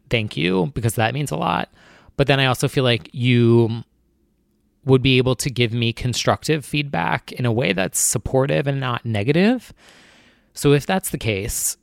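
A man's voice: mid-range voice, gravelly, no room sound, clean studio sound. A young man hosting his podcast solo, talking casually and candidly in a nervous, rambling way, his voice sounding a bit strained. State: voice feeling strained. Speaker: podcast host.

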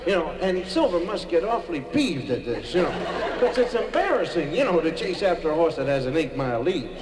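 A man's voice: fairly high pitch, big pitch swings, brisk. A man doing a casual, bantering live comedy routine as a stand-up comedian. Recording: echoey hall, crowd, noisy old recording.

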